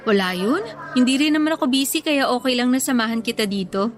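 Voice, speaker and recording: A coy young woman in love, a little defensive. Her voice is high, and music plays softly behind the radio drama dialogue. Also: expressive, fast. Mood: coy, friendly.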